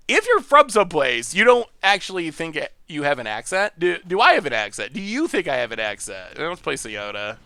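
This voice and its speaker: A man from upstate New York hosts a live card game stream, chatty and excitable, laughing as he talks. His voice sits high and bright and swings a lot in pitch. The sound is close and dry. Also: gravelly, no echo. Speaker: streamer host. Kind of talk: live gameplay commentary, chatting with viewers.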